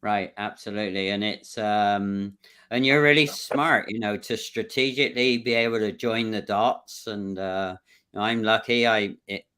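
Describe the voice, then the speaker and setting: A man's voice: fairly low pitch, fairly even intonation, moderate pace, sharp tone, clean tone. A man hosting a casual livestream interview over a video call, joking and chuckling often and wandering between thoughts. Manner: jokey, chuckles often, rambling. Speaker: livestream host.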